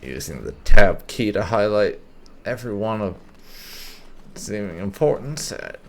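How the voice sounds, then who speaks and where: A man hosting a casual video game playthrough, haltingly thinking aloud as he comments. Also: lowish voice, big pitch swings, a little hiss or hum. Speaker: gaming channel host. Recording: game ambience faintly behind commentary.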